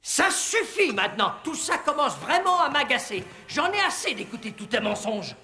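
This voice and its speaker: An exasperated cartoon father who distrusts what he hears. His voice runs high and very animated, with a theatrical, scolding delivery. Music plays underneath. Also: normal speed, exaggerated voice. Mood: angry, sarcastic.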